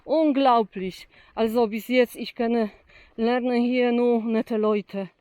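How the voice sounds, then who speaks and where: A woman's voice: on the high side, steady tone, slow, dark tone, clear, resonant tone. A Polish woman with a Polish accent chatting outdoors in simple, broken German, euphoric and laughing easily. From Poland. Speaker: vlogger interviewing a fellow pilgrim. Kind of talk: cheerful casual chat in simple German. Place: outdoors on a pilgrimage trail.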